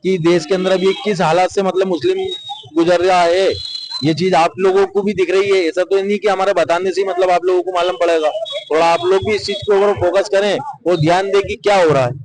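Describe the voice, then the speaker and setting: A man giving measured, explanatory answers in an interview, reasoning through his view. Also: sits high, steady tone, poor audio. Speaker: member of the public interviewed.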